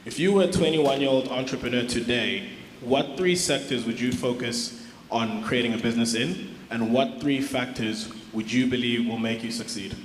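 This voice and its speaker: A strongly echoing hall with faint noise carries the voice of a South African man. He speaks at a middle pitch, asking a formal question from the floor. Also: moderate pace. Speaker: audience questioner, entrepreneur. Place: large hall audience.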